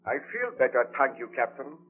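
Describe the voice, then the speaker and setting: A muffled old radio recording carries an actor as a haunted, impassioned scientist baron. His delivery is theatrical and formal, swinging widely in pitch in the 1930s radio drama manner. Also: big pitch swings, a little fast, lightly acted. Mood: grave, recollecting.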